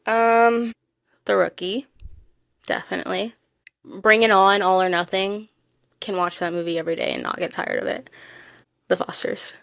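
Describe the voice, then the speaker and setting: Female podcast co-host, mid-pitched and very dry sound, casual, emphatic, expressive chat. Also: moderate pace, gravelly, long pauses, dry recording. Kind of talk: casual chat about TV shows.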